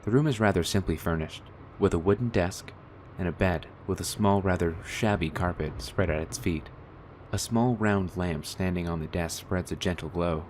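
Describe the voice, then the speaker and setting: Male narrator for audio drama, very low pitch, scripted read, slight ambient noise. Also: normal speed, darkish tone, rough recording. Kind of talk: scripted radio-play narration.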